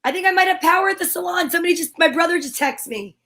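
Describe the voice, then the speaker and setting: High-voiced woman in halting, mock-indignant banter. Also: bright, forceful tone. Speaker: co-host. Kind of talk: casual chat, banter.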